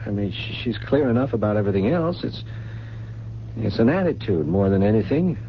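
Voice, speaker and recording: A weak-willed, tormented heir in a radio thriller. He stammers and pleads, his pitch lurching up and down. The recording is muffled and faintly hissy. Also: very animated, a little fast. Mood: defensive. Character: weak, tormented rich son under his lover's thumb. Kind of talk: radio mystery drama acting.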